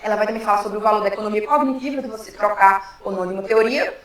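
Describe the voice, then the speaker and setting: A woman's voice: medium pitch, rapid-fire. A woman with a Brazilian accent giving an explanatory academic talk in an informal way, often adding little tag questions to check in with listeners. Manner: informal, frequent tag questions seeking assent. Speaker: academic workshop speaker. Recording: very echoey room, distant microphone.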